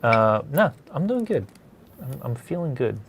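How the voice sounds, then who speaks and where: A man hosts a relaxed, informal chat. His voice has noticeable creak and sits at a middling pitch. He wanders through his thoughts hesitantly, with faint noise behind him. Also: measured pace. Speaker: host of a comics drawing studio session. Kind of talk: casual chat.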